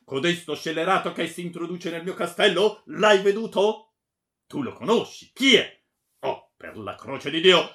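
A man's voice: medium pitch, big pitch swings, vocal fry, full character voice. A man voicing a furious, anguished baron, imperious and powerful, in a dramatic story reading. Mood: enraged. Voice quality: powerful, forceful. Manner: imperious, shouting, drawn-out threatening words. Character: furious anguished baron, commanding nobleman, male.

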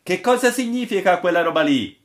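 A man voicing incredulous, excitable sailors in a storybook, exclaiming and mocking. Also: sits high, brisk, pitched above the performer's own voice. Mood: astonished.

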